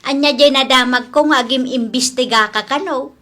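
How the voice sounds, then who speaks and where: A woman playing an aging, plaintive mother-in-law in an Ilocano comedy drama, with drawn-out exclamations and an Ilocano accent. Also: high voice, sharp tone. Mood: indignant.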